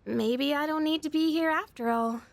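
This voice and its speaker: A woman voicing a candid, friendly teenage schoolgirl with self-doubt, in a casual, expressive anime-style game performance. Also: high voice, moderate pace, no room sound.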